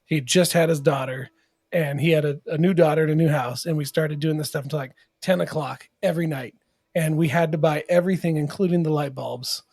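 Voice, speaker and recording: A man's voice: fairly high pitch, fairly even intonation, brisk, creaky, a little mellow, husky, no room sound. A man as podcast guest and sponsor, talking casually with enthusiastic, rambling stories. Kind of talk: casual chat.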